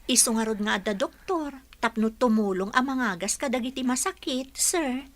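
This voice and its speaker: An actress with a Filipino Ilocano accent playing an earnest, caring and spirited young caregiver in a radio drama. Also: female voice, fairly high pitch, moderate pace, lightly acted. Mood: gently encouraging. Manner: earnest, pleading, expressive. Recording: noisy radio drama recording.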